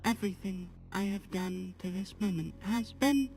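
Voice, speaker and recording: A woman voicing a polite, apologetic artificial-intelligence assistant on a livestream, speaking formally in measured, halting phrases. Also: mid-range voice, creaky, warm tone, slight background noise. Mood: polite, wistful. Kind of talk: slow guided talk to a live chat.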